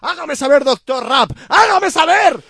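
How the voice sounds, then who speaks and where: A man's voice: very high voice, animated, unhurried, pushed and bright, dry recording, studio quality, exaggerated voice. A man playing an insistent, melodramatic woman lover in a comic radio soap, overwrought and pleading.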